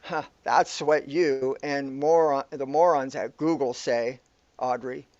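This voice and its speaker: A man's voice: mid-range voice, fairly even intonation, slow, a little mellow, close to an everyday voice. A man playing a bewildered, aggrieved man trapped in an absurd afterlife, complaining wordily in amateur sci-fi audio comedy style. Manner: complaining, wordy. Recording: echoey room, dull lo-fi sound.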